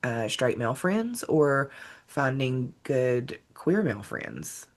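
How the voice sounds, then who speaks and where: A woman's voice: extremely low pitch, very animated, slow pace. A woman hosting a podcast in a casual, conversational interviewing style. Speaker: podcast host.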